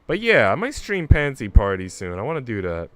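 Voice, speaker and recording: Clear-voiced man chatting on a gaming stream. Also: mid-range voice, huge pitch swings, a little fast, a bit bright. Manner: informal, chatty. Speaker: livestreamer. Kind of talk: casual gaming stream chat and reading a visual novel aloud.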